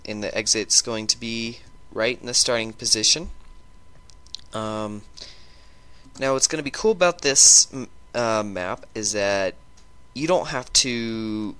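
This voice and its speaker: A man with a sore throat and a stuffy nose, chatting through a gameplay video and talking in a halting way with lots of ums and restarts. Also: on the low side, pushed and bright, smooth. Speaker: gaming YouTuber. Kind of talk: casual gameplay commentary.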